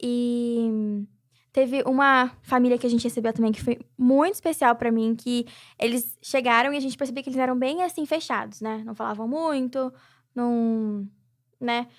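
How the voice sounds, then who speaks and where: A woman's voice: a little high, fairly even intonation, moderate pace. A young Brazilian woman, guest and charity president, talking reflectively in a casual interview with many filler tags. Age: young adult.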